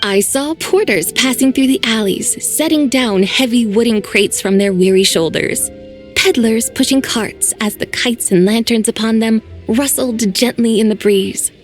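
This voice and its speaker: A woman's voice: fairly high pitch, measured pace, faint music behind, natural voice. An actress voicing an ancient, aloof female mountain spirit who talks in formal, old-fashioned phrasing with dry teasing. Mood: reflective. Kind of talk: video game voice acting.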